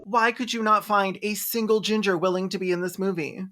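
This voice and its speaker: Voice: medium pitch, steady tone, brisk, bright, forceful tone. A video host giving sarcastic, mocking movie commentary in an incredulous, campy and slangy way. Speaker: video host, film reviewer.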